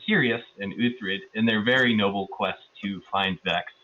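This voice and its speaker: A man's voice: lowish voice, moderate pace, dull audio. A man giving a casual, rambling and amused recap of a tabletop roleplaying session as one of the players.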